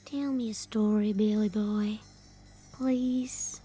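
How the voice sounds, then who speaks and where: A gentle young woman from a radio play, intimate and coaxing. Her voice is mellow and breathy, and she speaks slowly over faint music. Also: medium pitch, steady tone. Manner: soft, intimate. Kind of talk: radio drama acting.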